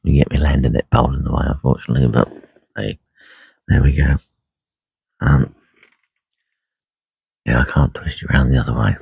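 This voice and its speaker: A man with a croaky voice talking quietly and unhurriedly on a live stream from a lake bank, full of ums and uhs. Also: extremely low pitch, steady tone, moderate pace, pauses often, dry recording. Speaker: carp angler livestreaming. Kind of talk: casual live stream chat. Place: lakeside fishing swim outdoors. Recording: dull lo-fi live stream audio.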